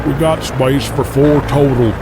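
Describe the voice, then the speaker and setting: A man's voice: mid-range voice, fairly even intonation, a little slow, pitched above the performer's own voice, natural voice, poor audio. A man playing a put-upon, grudging pilot of a small plane in an audio drama. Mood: matter-of-fact. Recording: music under the voice.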